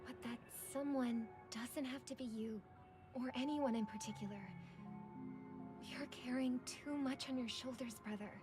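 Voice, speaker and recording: A woman as a high-voiced, earnest young sister. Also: lightly acted. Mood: earnest concern. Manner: measured, earnest, articulate. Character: earnest, gentle young sister, principled and resolute. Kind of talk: dramatic video game dialogue. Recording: music behind the voice.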